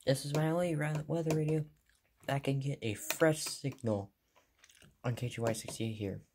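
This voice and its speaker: A man's voice: mid-range voice, fairly even intonation, normal speed, noisy background. Offhand young American man, casual show-and-tell. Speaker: hobbyist video maker. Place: small carpeted bedroom, indoors. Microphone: handheld phone behind the camera.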